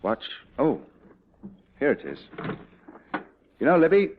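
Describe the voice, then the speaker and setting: An actor playing a confident cavalry commander and devoted husband, in mid-century dramatic style. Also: male voice, mid-range voice, huge pitch swings, slow pace, breathy edge, heavily acted. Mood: warm, jovial. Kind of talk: mid-20th-century American dramatic acting. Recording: dull old radio-era drama recording.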